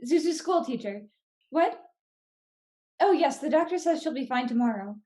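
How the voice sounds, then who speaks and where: A woman's voice: high-pitched, expressive, moderate pace, warm tone. An actress playing a patient, worried housewife and mother in an old-time radio play. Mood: concerned.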